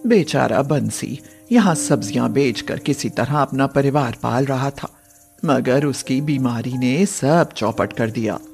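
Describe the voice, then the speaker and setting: A woman's voice: a bass voice, a little slow, creaky, darkish tone, soft background music, voice pushed down, heavily acted. A woman voicing a concerned, plain-spoken motherly older woman in a moral story. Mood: regretful. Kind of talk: acted Hindi moral cartoon story.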